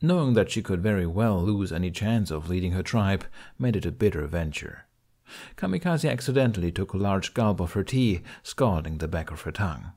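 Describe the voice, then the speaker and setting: A man's voice: low voice, lively intonation, normal speed, darkish tone. A man reading scripted fiction as an audiobook narrator.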